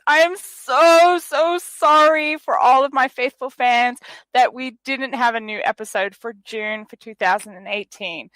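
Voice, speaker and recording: A bubbly woman hosts a relaxed science chat, her voice sitting a little high and her pace unhurried. She is recorded close and dry, with no room sound. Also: no echo, rough recording. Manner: bubbly, exclamatory, laughs often. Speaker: host. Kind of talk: casual radio science chat.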